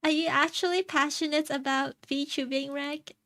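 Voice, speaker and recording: A young woman from China chatting casually on stream, answering her chat in a soft, rambling way and laughing often. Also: high voice, flat delivery, normal speed, dry recording. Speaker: VTuber streamer. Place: home streaming setup.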